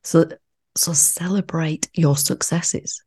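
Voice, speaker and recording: Female podcast co-host, very low and gravelly voice, playful and jokey. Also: fairly even intonation, slow pace, a little mellow. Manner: jokey, playful, swears casually. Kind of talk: casual podcast chat.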